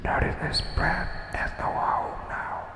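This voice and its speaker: A man whispering a guided breathing meditation in a slow, soothing way, leaving long pauses. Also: noisy background. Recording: echoey room with long reverb.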